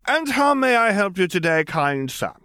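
Man putting on a high-pitched cheeky young girl. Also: very animated, moderate pace, bright, forceful tone, smooth, dry recording, studio quality, heavily acted. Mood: breezy.

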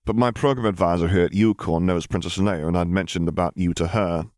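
A man's voice: sits low, steady tone, fairly quick, a little mellow, no room sound, studio quality, lightly acted. A man voicing a gangly, jocular younger academic in an audiobook, chattering in an effusive, slightly flustered way. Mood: chatty.

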